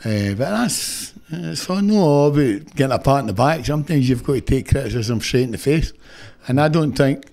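Blunt older Scottish football manager. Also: male voice, mid-range voice, expressive, normal speed, vocal fry, few pauses, darkish tone. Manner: blunt, annoyed, frank. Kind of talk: post-match interview. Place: indoor press room before a sponsor backdrop. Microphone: stand mic close in front.

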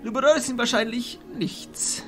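A man playing a stern, formal archchancellor of mages in a fantasy audio drama, speaking in a commanding, deliberate way. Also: very high-pitched, fairly bright tone, faint music behind. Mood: solemn, cautioning. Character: stern authoritative male archchancellor of illusionist mages.

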